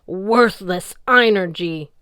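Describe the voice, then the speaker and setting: Ran, a woman voicing a booming sea goddess. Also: slow pace, a bit bright, clear, resonant tone, no room sound, studio quality. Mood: scornful. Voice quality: deep baritone, booming. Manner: haughty and commanding. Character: imperious ancient sea goddess, deep-voiced and scornful.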